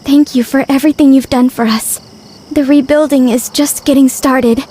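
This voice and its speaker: A woman's voice: high voice, steady tone, moderate pace, dark tone, lightly acted. A woman playing an earnest, sincere young female leader in a video game, speaking solemnly. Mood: solemn, grateful. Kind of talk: video game dialogue acting.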